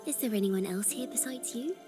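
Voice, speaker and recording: An actress playing an earnest, poetic young woman in a video game, telling her story with gentle, solemn theatricality. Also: a little high, brisk, close to an everyday voice. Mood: gentle, confiding. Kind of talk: dramatic video game narration. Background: clear background music.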